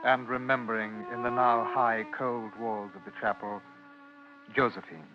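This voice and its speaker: An old, dull radio recording with strong music underneath. A man plays a brooding British narrator who phrases a suspense story slowly and poetically, in a literary style. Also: medium pitch, steady tone, close to an everyday voice. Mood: wistful. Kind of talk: vintage radio drama narration.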